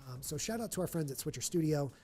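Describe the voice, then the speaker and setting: A man's voice: a little high, lively intonation, fast, warm tone, soft background music. A man with a nasal voice hosting a live charity stream, chatting upbeat to fill time. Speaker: livestream host. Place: stadium event room.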